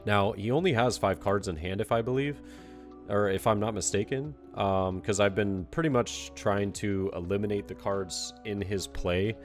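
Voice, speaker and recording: A young man with a congested voice, casually commenting on a card game match with relaxed, filler-heavy play-by-play and some self-criticism. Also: fairly low pitch, moderate pace, faint music behind.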